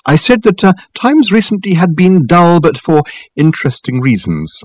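A man voicing an eccentric, amiable elderly academic in an audiobook, rambling and halting with many hesitant ums. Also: fairly high pitch, sing-song, darkish tone, no room sound. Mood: earnest, rambling. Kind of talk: audiobook character acting.

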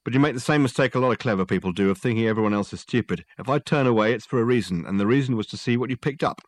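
A man voicing a weary, gruff male police sergeant who talks loudly and sarcastically. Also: mid-range voice, rapid-fire, no room sound, natural voice. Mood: menacing. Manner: loud, sarcastic, threatening.

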